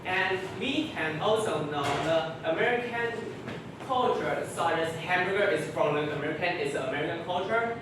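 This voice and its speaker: Halting debater in an echoey room. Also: male voice, a little high, expressive. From Taiwan. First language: Chinese. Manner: halting, searching for words, frequent restarts. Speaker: student debater. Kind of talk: debate crossfire. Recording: very echoey room, distant mic, background noise.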